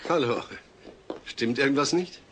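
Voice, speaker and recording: A man's voice: mid-range voice, measured pace, fairly bright tone, slight background noise, lightly acted. A man playing a long-suffering suburban father in a dubbed sitcom, speaking earnestly. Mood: polite, uneasy. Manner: earnest, stern yet polite.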